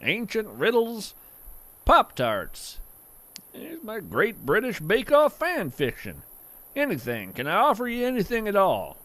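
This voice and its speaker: A man playing a genial, whimsical naturalist who hosts a fiction podcast, narrating in a gentle, earnest, literary manner. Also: high-pitched, wildly swooping pitch, no room sound, full character voice. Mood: friendly, hospitable. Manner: measured, gentle, earnest delivery with florid wording. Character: genial, whimsical male naturalist hosting strange creatures by campfire.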